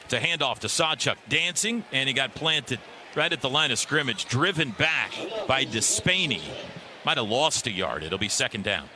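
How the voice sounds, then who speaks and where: A man's voice: medium pitch, expressive, normal speed, noisy background. A man calling live radio football play-by-play from a stadium broadcast booth. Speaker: play-by-play announcer.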